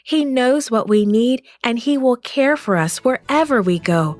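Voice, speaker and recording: A woman narrating a scripted Bible story in a measured, deliberate way. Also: on the high side, expressive, dry recording, clean studio sound, soft background music.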